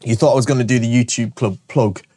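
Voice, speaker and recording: A man's voice: mid-range voice, quick pace, husky. A man hosting his show, his voice stuffy and bunged up from a cold, talking energetically and jokily, tagging "all right?" onto his points. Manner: energetic and jokey, repeating "all right?" often. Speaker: show host and shop owner. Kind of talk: casual promotional monologue to camera.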